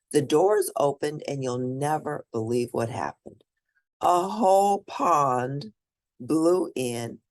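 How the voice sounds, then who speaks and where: A woman reading a children's picture book aloud expressively, as a storyteller for young listeners who points out the pictures. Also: low-pitched. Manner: animated, pauses to point out pictures.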